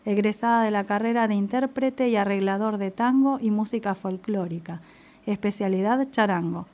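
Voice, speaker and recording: A woman who hosts a community radio show, reading an introduction and interviewing a guest in an unhurried, affirming way. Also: medium pitch, darkish tone.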